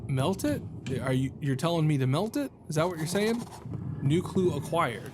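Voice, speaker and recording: A man's voice: fairly high pitch, lively intonation, moderate pace. A man hosting a gaming video with casual let's-play commentary, thinking aloud in a wry, jokey way. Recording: game ambience and noise behind voice.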